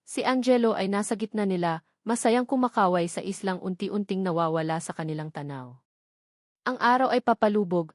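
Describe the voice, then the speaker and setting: A woman narrating a scripted romance audiobook in a measured, sentimental storytelling manner. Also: mid-range voice, darkish tone, dry recording, studio quality.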